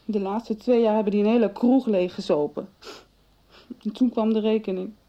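A woman's voice: mid-range voice, steady tone, moderate pace, dark tone, highs cut off, soft background music, natural voice. An actress in a 1990s radio soap playing a blunt, resentful young woman. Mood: spiteful.

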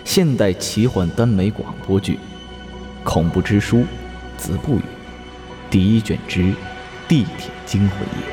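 A man narrating a horror audio drama as an ominous, solemn announcer of deadly missions, speaking deliberately. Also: fairly low pitch, expressive. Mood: announcing. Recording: voice over loud music.

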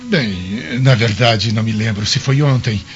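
A man's voice: fairly low pitch, a little slow, close to an everyday voice. A man playing a suave, evasive Roman governor in a radio drama, speaking with theatrical, hesitant courtesy. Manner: theatrical, hesitant, smooth-talking. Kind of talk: Brazilian radio drama acting. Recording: dull band-limited radio sound, faint music.

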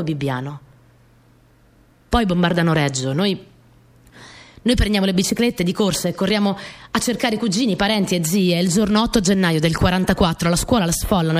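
Casting: adult woman to play headstrong sixteen-year-old wartime girl, medium pitch, theatrical first-person radio storytelling, halting and intense. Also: lightly acted. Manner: dramatic, halting delivery with repeated phrases. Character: stubborn, eager sixteen-year-old Italian partisan girl recounting wartime. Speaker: radio drama actress.

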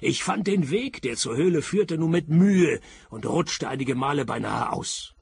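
An actor playing a laconic, sarcastic private detective, narrating and questioning with deadpan wit in a radio play. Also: male voice, a little high, unhurried, gravelly, husky, dry recording, exaggerated voice. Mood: deadpan, sardonic. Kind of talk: radio play first-person narration and dialogue.

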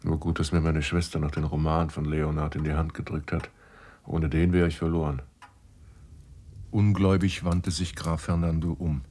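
Deep-voiced, reflective house guest. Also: male voice, little pitch movement, normal speed, dark tone. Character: composed, observant male guest recounting his stay. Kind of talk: German radio drama dialogue and narration.

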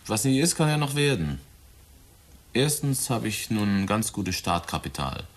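A man's voice: on the low side, animated, normal speed. A man in a German radio drama playing a cynical 23-year-old murderer who taunts his father in a cold, flippant, insinuating way. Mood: callous.

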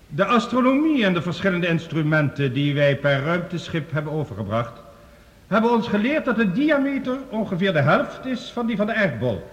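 An actor playing an earnest male scientist giving a measured, formal research report in a radio play. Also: high voice. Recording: reverberant hall, radio play production.

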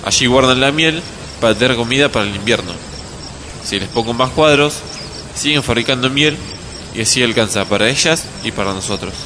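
A man's voice: medium pitch, brisk, background noise, close to an everyday voice. A man playing a patient, kindly beekeeper grandfather with an Argentine accent, explaining things simply in a radio drama. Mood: patient, explanatory. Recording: clear outdoor birds and insects. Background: birds, crickets, countryside ambience.

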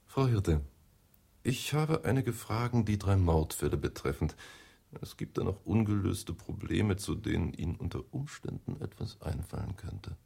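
An actor playing a shrewd middle-aged homicide detective in a radio drama, questioning in a formal, insistent way with a rough voice. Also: male voice, low-pitched, lively intonation, moderate pace, dark tone, heavily acted. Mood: formal, probing. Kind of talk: radio drama interrogation dialogue.

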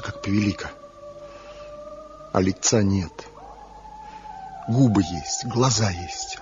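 An actor playing Zhivko, a proud, envious rival woodcarver, musing slowly in a 1970s radio play. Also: male voice, on the low side. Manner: brooding, slow inner monologue, proud. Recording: dull lo-fi old radio recording with faint music.

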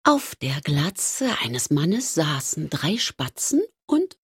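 An actress playing a dry, deliberate teller of absurd tales in a radio play, with a measured deadpan manner. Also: female voice, sits low, husky, no room sound, heavily acted.

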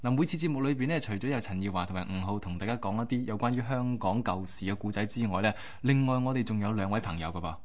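A man's voice: on the low side, quick pace, few pauses. A man with a Hong Kong accent co-hosting a radio show in a conversational presenting style. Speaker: radio co-host.

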